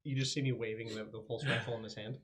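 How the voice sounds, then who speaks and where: A man's voice: mid-range voice, steady tone, fast, a bit bright. A man playing a tabletop game, chatting casually with the others at the table.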